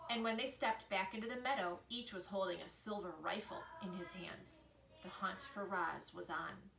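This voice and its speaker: A woman reading a children's book aloud in an expressive storytelling style. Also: mid-range voice. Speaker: reader, storyteller. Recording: echoey room with faint noise.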